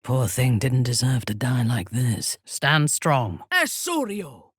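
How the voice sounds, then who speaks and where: An actress playing an imperious, ruthless dark elf warrior priestess in a video game, with a haughty, commanding manner and formal, measured phrasing. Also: female voice, extremely low pitch, sing-song, husky, no echo, studio quality, heavily acted. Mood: solemn, then fierce, commanding. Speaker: video game companion character. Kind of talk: dramatic video game voice acting.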